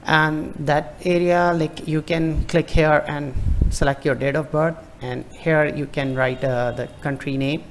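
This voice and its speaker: A man giving a screen-recorded walkthrough of a form, hesitant and halting with many fillers and restarts. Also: on the high side, vocal fry, slight background noise. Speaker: tutorial presenter.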